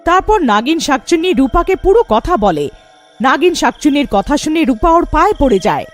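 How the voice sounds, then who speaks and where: A woman's voice: high voice, sing-song, fairly quick, faint music behind. A woman narrating a scripted horror fairy tale as a storyteller.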